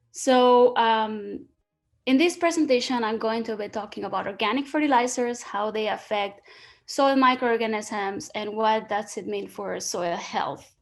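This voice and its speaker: A woman with a Spanish accent gives a science talk over a video call. Her voice sits a little high. She explains patiently and often pauses on fillers and restarts her phrases. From Spain. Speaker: guest lecturer, soil ecologist. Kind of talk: online presentation. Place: home or office, video call.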